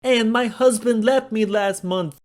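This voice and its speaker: A man's voice: high-pitched, unhurried, voice pushed up. A man voicing Joe, an irate shopkeeper who whines in a thick city accent. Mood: whiny. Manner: whining, complaining.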